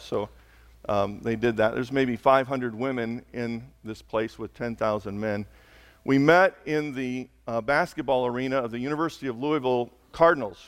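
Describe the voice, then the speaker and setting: A man preaching a sermon as a pastor, hoarse, talking conversationally and building to slow, emphatic peaks. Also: mid-range voice, big-room sound. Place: church sanctuary.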